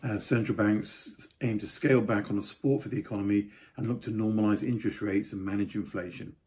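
A man's voice: on the low side, steady tone, brisk, a little mellow. An older man giving a casual market update to camera from a home study, with offhand asides. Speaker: property market commentator.

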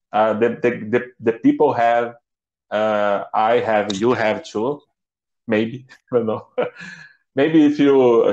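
Brazilian learner, slow halting English, roomy dull webcam call. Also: male voice, mid-range voice, darkish tone. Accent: Brazilian Portuguese. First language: Portuguese. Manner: halting, searching for words with many restarts. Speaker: English student. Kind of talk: online lesson chat. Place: home office with bookshelves. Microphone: laptop webcam mic on a video call.

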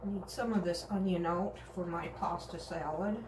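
A woman's voice: lowish voice, fairly even intonation, normal speed, background noise. A middle-aged woman casually talking through her cooking step by step in her home kitchen, in short remarks to herself. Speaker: home cook vlogger. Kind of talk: casual narration while cooking. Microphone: camera across the counter. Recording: echoey kitchen from a distance. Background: clinking and chopping noises.

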